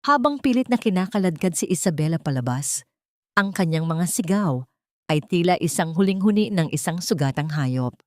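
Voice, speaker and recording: A woman's voice: fairly low pitch, lively intonation, moderate pace, darkish tone, dry recording, studio quality. A woman with a Filipino accent reading a dramatic scripted story as an audiobook narrator.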